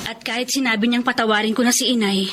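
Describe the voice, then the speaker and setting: A woman's voice: a little high, fairly even intonation, fast, noisy background, close to an everyday voice. A Filipino woman acting an earnest, confiding young elder sister in a radio drama. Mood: relieved, earnest. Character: hurt but relieved hardworking young elder sister.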